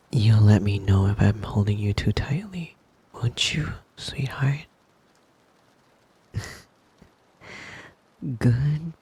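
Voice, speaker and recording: Man acting a dark, breathy possessive wolf boyfriend. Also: lowish voice, full character voice. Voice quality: soft, breathy, often whispering. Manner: slow, coaxing, possessive endearments. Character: possessive, doting, dominant young male wolf boyfriend. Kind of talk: intimate ASMR boyfriend roleplay. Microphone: close microphone, dry.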